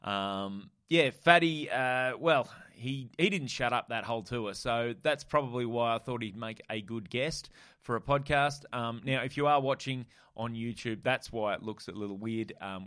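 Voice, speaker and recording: A male comedian hosts his podcast in an easygoing, rambling way, with plenty of ums and uhs. His voice is mid-pitched and recorded close and dry. He banters loosely with his guest. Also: a bit bright, clean tone, dry recording. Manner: laid-back, filler-heavy banter. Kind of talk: casual podcast chat. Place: motel room.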